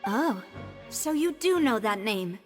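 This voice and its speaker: An actress playing a determined, earnest young woman in a video game, speaking deliberately like a detective making an accusation. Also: fairly high pitch, expressive, soft background music. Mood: triumphant. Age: young adult. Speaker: voice actor in a game. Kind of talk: dramatic video game dialogue.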